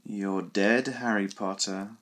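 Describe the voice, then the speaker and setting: A man reading an audiobook voices a sneering young male dark wizard who taunts his enemy in soft, drawn-out words. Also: lowish voice, fairly even intonation. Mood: gloating taunt. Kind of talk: audiobook character voice.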